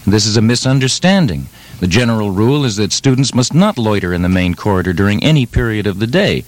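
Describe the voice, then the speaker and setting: A man in improvised comedy radio banter, talking and reading aloud in a dry, deadpan way. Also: lowish voice, fast, dry recording.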